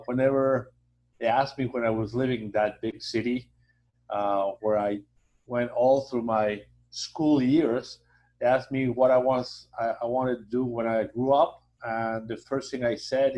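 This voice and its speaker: A man's voice: medium pitch, lo-fi sound. Mexican man talking up his hometown. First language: Spanish. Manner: halting, many fillers and restarts, warm promotional tone. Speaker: hotel association president, guest. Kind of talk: conversational interview.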